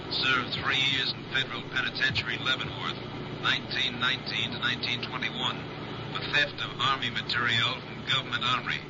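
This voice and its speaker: An actor playing a brisk police radio dispatcher in a vintage radio drama, using clipped, formal radio procedure. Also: male voice, medium pitch, natural voice. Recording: muffled vintage radio with clear static noise.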